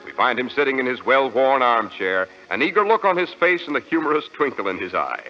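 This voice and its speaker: Animated American 1940s radio announcer, male. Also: medium pitch, a little fast, faint music behind. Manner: polished, emphatic salesmanship. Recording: dull, lo-fi old radio recording.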